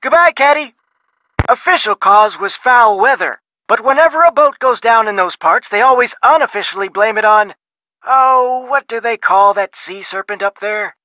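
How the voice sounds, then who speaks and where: A blustery folksy researcher. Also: male voice, very high voice, moderate pace, pushed and bright, dry recording, rough recording. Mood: gruff. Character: gruff folksy older male shipwreck researcher. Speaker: phone contact in a game.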